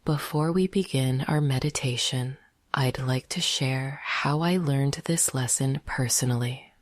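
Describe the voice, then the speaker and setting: A woman leading a guided meditation in a soft, half-whispered, gentle and soothing voice. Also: extremely low pitch, a little slow, no room sound, studio quality.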